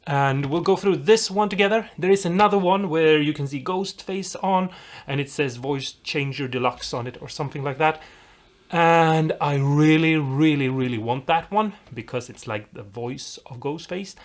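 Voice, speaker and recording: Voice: medium pitch, moderate pace. A host from Europe talking viewers through a build in a casual, rambling, encouraging way. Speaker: host of a DIY guitar pedal video.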